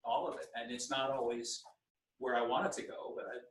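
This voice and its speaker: A man in a group session answering in a halting, soft-spoken way. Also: mid-range voice, very animated, vocal fry, far from the mic, poor audio. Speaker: group member.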